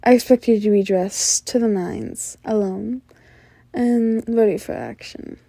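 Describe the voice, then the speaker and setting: A woman's voice: mid-range voice, fairly even intonation, vocal fry, mellow, dry recording, clean studio sound. A woman playing a flirtatious, sly mafia boss in an ASMR roleplay, coy and self-assured, with teasing hums and murmurs. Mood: playful, bossy. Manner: coy, teasing, drawn-out hums and knowing laughs.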